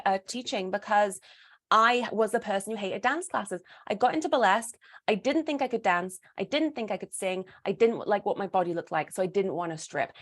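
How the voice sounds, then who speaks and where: A woman with a British accent talks in an animated, conversational way as a guest. She makes her points emphatically and often slips into little imagined exchanges to illustrate them. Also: on the high side. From Britain. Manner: emphatic, acts out imagined dialogue. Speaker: guest, performer and burlesque teacher. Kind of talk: animated conversational interview talk.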